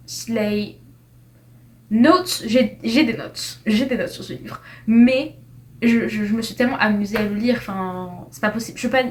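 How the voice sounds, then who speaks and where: A young woman giving a casual, chatty review of books, tripping over her words and dropping slang as she ranks them. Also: on the high side. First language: French. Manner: chatty, animated, with slang and frequent restarts. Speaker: book reviewer. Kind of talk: casual tier-list book review.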